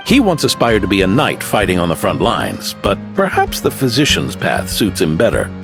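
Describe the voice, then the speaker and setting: An actor playing a kindly old retired knight who speaks with gentle, old-fashioned courtesy. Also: male voice, low voice, huge pitch swings, moderate pace, music in the background, full character voice. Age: older. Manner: warm, old-fashioned phrasing.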